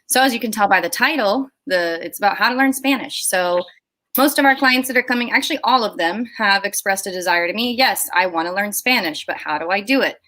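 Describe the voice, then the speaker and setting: Bright, chatty woman host. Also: medium pitch, brisk. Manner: upbeat, chatty. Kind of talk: live-stream interview.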